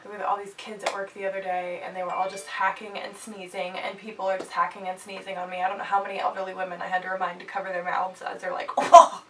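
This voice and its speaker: A woman's voice: mid-range voice, completely flat delivery, fast, few pauses, fairly bright tone. A young woman in her twenties with a stuffed-up nose, chatting casually and jokily as she reviews products for her channel. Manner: bubbly, chatty, jokey asides. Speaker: beauty vlogger. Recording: slightly distant mic, faint background hiss.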